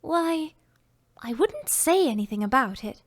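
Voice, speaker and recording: A woman voicing Alice, a curious little girl chattering to herself in a high, wondering voice. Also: very animated, measured pace, no room sound, studio quality. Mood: proud.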